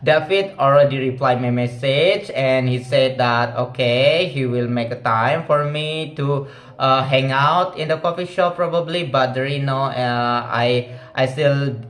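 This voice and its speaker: A young man with an Indonesian accent speaks English slowly and haltingly, pausing often on fillers and restarting his sentences. His voice sits at a middle pitch, and the room around him sounds echoey. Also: fairly even intonation, fairly bright tone. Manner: hesitant, many fillers and restarts, simple grammar. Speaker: vlogger. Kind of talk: casual English speaking practice.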